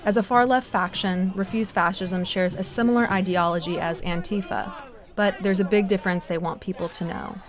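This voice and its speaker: A woman's voice: on the low side, fairly even intonation, moderate pace, crowd noise. An American woman reporter delivering scripted news narration.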